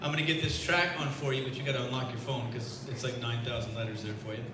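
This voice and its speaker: A man emceeing an event, excited and stammering as he calls someone to the stage. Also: medium pitch, fast. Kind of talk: stage announcing. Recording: echoey room, far from the mic, noisy.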